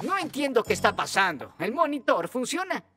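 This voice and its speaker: A man voicing a gentle, fatherly male household gadget in a cartoon dub, speaking in hesitant mumbles. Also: very high voice, big pitch swings, fairly quick. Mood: sheepish. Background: music.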